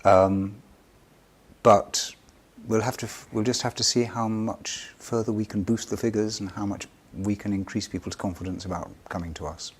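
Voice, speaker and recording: A man's voice: lowish voice, fairly even intonation, normal speed. A man speaking for a charity in an interview, explaining things in an earnest way. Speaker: charity representative being interviewed. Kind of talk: interview answers. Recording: clear background noise.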